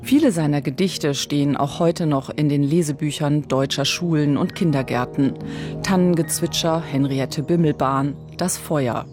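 A narrator reading a scripted radio feature about a writer in a calm, clear way. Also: medium pitch, moderate pace, soft background music.

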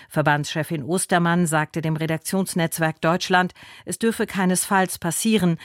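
A woman's voice: on the low side, no echo. A woman reading a scripted radio news and weather bulletin in a broadcast studio. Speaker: radio newsreader.

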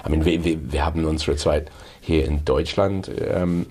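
A man with a native English speaker's accent answering interview questions, halting as he searches for words. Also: a bass voice, fairly even intonation, a crowd in the background. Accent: English-speaking foreign. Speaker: musical comedian in a duo.

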